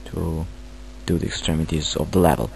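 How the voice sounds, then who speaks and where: A man with a Romanian accent, not a native English speaker, halting through a screen-recorded software tutorial with many fillers. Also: rumbling low, vocal fry, slight background noise, low-quality recording. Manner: hesitant, halting, filler-heavy. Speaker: tutorial maker.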